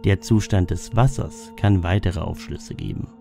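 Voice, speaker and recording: A man reading scripted explanatory narration in a measured, solemn manner. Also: low voice, vocal fry, dark tone. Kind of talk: scripted narration of a dream-symbol lexicon. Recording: music bed under the voice. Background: background music.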